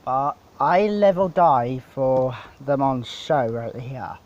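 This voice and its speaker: Slow-talking man in a casual gear walkthrough. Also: mid-range voice, animated, darkish tone, dull audio. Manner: rambling, halting explanations. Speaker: DJ presenting his PA setup.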